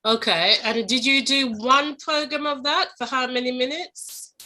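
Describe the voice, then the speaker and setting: A woman's voice: sits high, unhurried, bright, forceful tone. A woman interviewer speaking in a conversational style, putting questions and comments to a guest.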